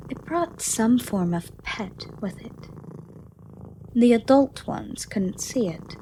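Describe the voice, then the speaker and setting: Slow, gentle female shadow monster, acted by a woman. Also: medium pitch, a little hiss or hum. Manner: slow, soft, formal, slightly archaic phrasing, soothing. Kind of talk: ASMR roleplay.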